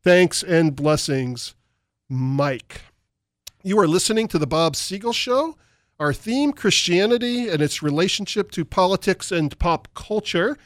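A man's voice: high voice, lively intonation, a little slow, no echo, studio quality. A man hosting a talk radio show, delivering opinionated commentary and reading listener emails aloud in an emphatic way. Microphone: studio microphone.

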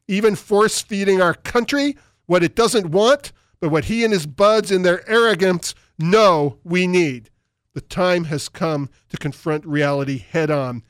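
A man's voice: sits high, no echo, clean studio sound. A man hosting a talk radio show, delivering opinionated commentary and reading listener emails aloud in an emphatic way. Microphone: studio microphone.

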